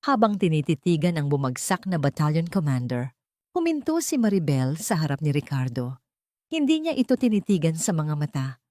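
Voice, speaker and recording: A woman narrates a scripted drama in a slightly low voice. Her delivery is measured and dramatic, and the sound is dry and close. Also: a little mellow, no room sound. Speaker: audio story narrator.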